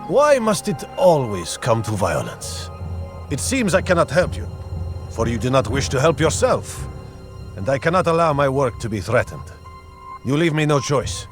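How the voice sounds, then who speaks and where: An actor playing a zealous, self-righteous slaver lord in a video game, grandiose and scornful. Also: male voice, medium pitch, sing-song, moderate pace, faint music behind, close to an everyday voice. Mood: taunting, grandiose. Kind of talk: dramatic video game acting.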